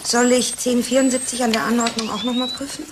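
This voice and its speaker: A woman's voice: on the high side, flat delivery, fast, close to an everyday voice. An actress playing a curious, eager female lab assistant in a radio drama. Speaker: laboratory assistant character. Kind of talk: German radio drama acting. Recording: clear noise of animals behind.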